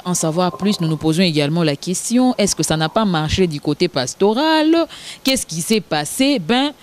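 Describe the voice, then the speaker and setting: A woman, hosting a formal television interview in a hesitant way, with many filler pauses. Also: deep voice, a little mellow, slight background noise. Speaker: TV show host.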